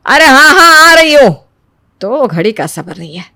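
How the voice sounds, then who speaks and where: A woman playing a wary middle-aged Indian mother-in-law in an animated moral story, grumbling in exasperated asides and retorts. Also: high voice, normal speed, creaky, no echo, clean studio sound, exaggerated voice.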